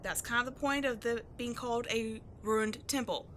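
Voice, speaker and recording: A woman playing a cocky, sarcastic tomboy adventurer in an audio roleplay, slangy and expressive in her exasperation. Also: a little high, moderate pace, a little hiss or hum, lightly acted. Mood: defensive, cocky. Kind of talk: scripted ASMR-style audio roleplay, one-sided dialogue.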